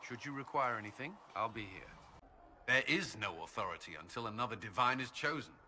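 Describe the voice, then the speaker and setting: A man acting a stern, weary military commander in a video game, speaking in a formal, measured way. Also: medium pitch, sharp tone, slight background noise. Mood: grave, reflective. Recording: music behind the voice.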